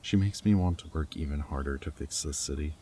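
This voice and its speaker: Very low-voiced, tender goofy rebel scientist played by a man. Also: brisk, mellow, slight background noise. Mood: worried. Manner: tender, rambling, earnest. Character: goofy, affectionate young male rebel lab scientist and anxious foster parent. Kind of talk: intimate scripted roleplay audio drama, speaking to the listener.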